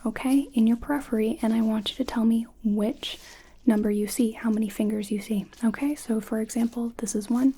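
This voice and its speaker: A woman whispers gently through a mock doctor's checkup, her voice breathy and hushed. Her tone sits warm and dark, a little higher than most. She sounds playful as her voice drifts close to the mic and then away. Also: steady tone, a little fast. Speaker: ASMR roleplay doctor. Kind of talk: ASMR medical exam roleplay.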